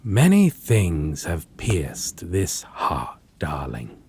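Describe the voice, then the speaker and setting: A man playing a possessive, menacing vampire in a dramatic audio roleplay, speaking in a theatrical, condescending manner with a husky, breathy voice. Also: low-pitched, wildly swooping pitch, a little mellow, full character voice. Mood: condescending, taunting. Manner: slow, theatrical, archaic wording, condescending endearments. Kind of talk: dramatic audio roleplay acting addressed to listener.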